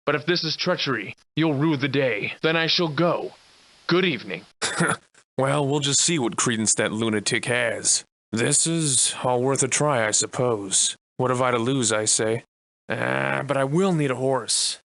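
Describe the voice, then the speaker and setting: A man playing a sardonic, defiant old-fashioned gentleman adventurer in a video game, speaking in florid, archaic, theatrical phrases. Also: mid-range voice, unhurried, vocal fry, no echo. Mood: curt, resolved. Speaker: voice actor.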